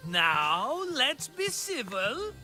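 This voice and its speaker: An older male schemer from a video game, wheedling and theatrical. His voice is extremely high and very sharp. He draws his words out slowly, with a dramatic, smooth-talking air. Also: wildly swooping pitch, soft background music, heavily acted. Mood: placating.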